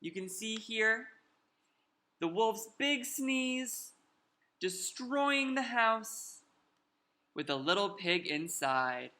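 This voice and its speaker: A man reading aloud to children as a drag queen storyteller, pausing to explain the pictures in an unhurried way. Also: high-pitched, expressive, sharp tone.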